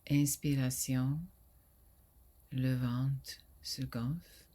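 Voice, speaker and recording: A woman guiding a sleep meditation in a soft, soothing voice that often drops to a breathy near whisper, with long pauses. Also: very deep voice, little pitch movement. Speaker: yoga nidra guide.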